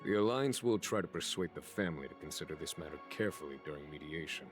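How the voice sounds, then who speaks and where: A low male voice plays a genial general and diplomat in a video game. He speaks formally and eloquently, measured and composed, over prominent music. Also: lively intonation. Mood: grave, measured. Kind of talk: scripted video game voice acting.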